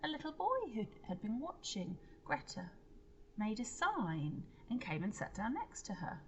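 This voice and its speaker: A woman's voice: on the high side, huge pitch swings, measured pace, muffled recording, slight background noise. A woman reading a storybook aloud to children like a teacher, expressively, with explanatory asides and questions to her listeners.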